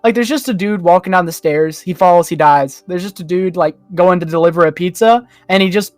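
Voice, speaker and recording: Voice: mid-range voice, brisk, no room sound, soft background music. A podcast panellist chatting casually, insistent and ready to argue a point. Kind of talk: casual chat.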